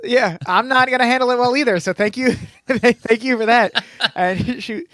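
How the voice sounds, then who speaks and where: A man's voice: very high-pitched, normal speed, fairly bright tone, dry recording. A man in his late twenties, a podcast co-host telling a story in casual chat, acting out what others said with lots of laughs. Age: 20s. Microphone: podcast microphone.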